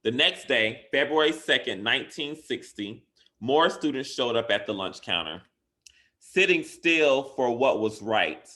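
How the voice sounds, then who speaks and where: A man reads a children's story aloud at a slow, measured pace. His mid-pitched voice is bright and forceful. He phrases deliberately, leaning hard on key words for dramatic effect, like an educator reading to young pupils. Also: lively intonation. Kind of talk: expressive picture-book read-aloud for children.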